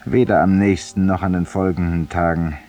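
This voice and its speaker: A male actor playing a weary, ironic, haunted man who confesses to a stranger in a deliberate, courteous, literary monologue, in the style of 1950s German radio drama. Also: sits low, steady tone, a little mellow, close to an everyday voice. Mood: courteous, evasive. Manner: literary, deliberate, ceremoniously polite, confiding. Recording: hissy 1950s radio recording, distant voice.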